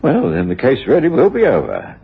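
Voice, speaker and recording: An actor playing an earnest Victorian gentleman companion who narrates a radio mystery in a measured, formal way. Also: mid-range voice, huge pitch swings, vocal fry, husky, exaggerated voice. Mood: puzzled, thoughtful. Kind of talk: radio drama narration and dialogue. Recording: dull radio recording with music.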